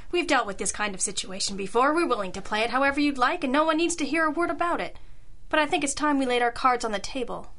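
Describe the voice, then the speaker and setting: A woman's voice: high-pitched, expressive, fast, fairly bright tone. A woman playing a wry, tough girl detective in an old-time noir radio drama, sardonic and knowing. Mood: businesslike.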